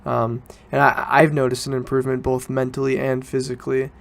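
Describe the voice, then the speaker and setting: A man's voice: mid-range voice, completely flat delivery, fairly quick, vocal fry. A young man, student age, talking casually and informally as a solo podcast host, rambling and correcting himself as he goes. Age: teens to young adult student.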